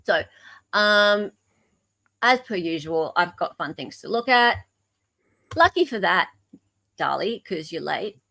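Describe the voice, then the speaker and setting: A woman's voice: lowish voice, big pitch swings, slow, vocal fry, long pauses, bright, forceful tone. A middle-aged Australian woman hosting a livestream, chatting casually in a playful, informal way. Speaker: livestream host.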